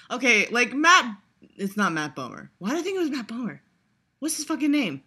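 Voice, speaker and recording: A woman's voice: fairly high pitch, very animated, moderate pace, pushed and bright, husky. Swearing, sarcastic young woman vlogger. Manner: profane, sarcastic, rambling, frequent laughter and fillers. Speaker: vlogger reacting to a trailer. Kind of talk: casual reaction commentary.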